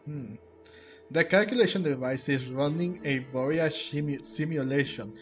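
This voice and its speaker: A man's voice: fairly high pitch, measured pace, dull audio. A man streaming a video game, commenting casually and reading in-game text aloud. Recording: game music faintly behind.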